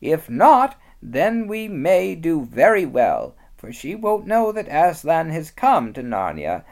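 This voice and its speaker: A reader voicing a practical, motherly female beaver, speaking in a high, bustling, brisk way. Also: huge pitch swings, a little mellow, heavily acted.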